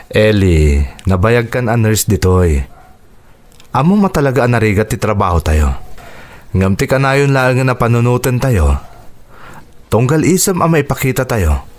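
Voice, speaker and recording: A man with an Ilocano accent playing a kindly, upbeat doctor and devoted father in a radio drama, with a warm, encouraging manner. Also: medium pitch, big pitch swings, normal speed, pauses often, lightly acted. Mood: cheerful, encouraging.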